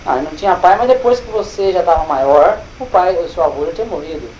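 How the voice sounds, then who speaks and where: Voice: medium pitch, animated, fast talker, darkish tone, a little hiss or hum, low-quality recording. A speaker who keeps asking about family history and reads details from a document. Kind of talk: casual family conversation.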